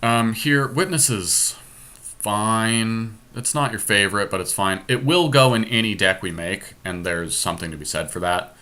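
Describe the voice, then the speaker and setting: Male game podcaster, low pitch, forceful bright tone, casual opinionated commentary. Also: unhurried. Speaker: podcast host drafting a card game.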